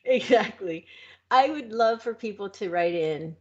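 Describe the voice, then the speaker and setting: A woman's voice: mid-range voice, animated, a little slow, dull audio. A woman co-hosting a podcast, chatting casually and laughing often. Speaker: podcast co-host. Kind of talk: casual chat.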